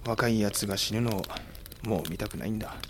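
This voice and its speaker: An actor playing a cool, shrewd samurai commander who is gruff but caring, speaking tersely in a Japanese period audio drama. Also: male voice, fairly low pitch, fast, noisy background, natural voice. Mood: subdued, resolute.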